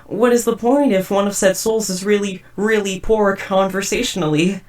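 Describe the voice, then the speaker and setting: A woman's voice: medium pitch, steady tone, normal speed, a little mellow, recorded from a distance, lightly acted. A woman playing a socially awkward, devoted but murderous robot house spouse in a roleplay audio drama, speaking in stiff, wordy, formal phrases. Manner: stiff, verbose, overly formal wording. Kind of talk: scripted roleplay audio drama addressed to listener.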